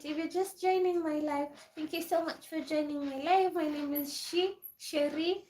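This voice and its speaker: Chatty young woman, high voice. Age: 20s. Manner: chatty, reads and answers viewer comments, laughs often. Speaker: livestream host. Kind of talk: casual livestream chat with viewers. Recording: echoey room.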